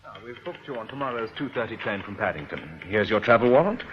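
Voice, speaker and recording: An actor playing a polite British bank administrator in a radio drama, obliging with a faintly wry manner. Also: male voice, lowish voice, big pitch swings, quick pace. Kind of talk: broadcast radio drama acting. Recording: dull noisy old radio recording.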